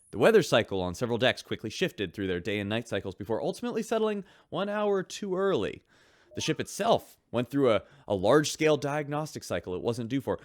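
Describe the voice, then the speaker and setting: Guy doing a chatty starship radio newsman, animated voice, dry studio sound. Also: medium pitch, no echo, close to an everyday voice. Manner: chatty, wry. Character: upbeat male radio news host on a starship. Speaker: radio host and reporter. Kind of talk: scripted news narration.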